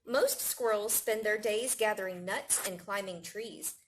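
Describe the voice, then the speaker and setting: A woman reading a picture book aloud to young children in an expressive storytelling manner. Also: mid-range voice, measured pace, slight background noise. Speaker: library staff storytime reader.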